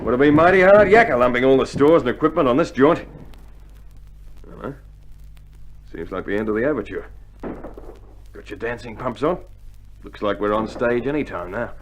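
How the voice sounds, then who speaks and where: Radio drama: seasoned Australian infantryman, male actor, middle-aged, gruff and wry, slangy delivery, mid-pitched, on a noisy old record. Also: lively intonation, moderate pace, long pauses, close to an everyday voice. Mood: wry, steady. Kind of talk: 1960s radio drama acting.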